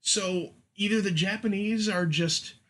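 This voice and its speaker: A man's voice: high voice, measured pace, fairly bright tone. A man hosting a casual film chat, with a wry, joking manner. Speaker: podcast host.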